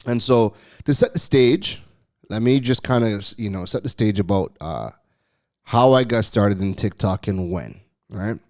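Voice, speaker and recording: A middle-aged man hosting a podcast, telling a casual story in an animated way, laughing often and restarting his phrases. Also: fairly low pitch, unhurried. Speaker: podcast host.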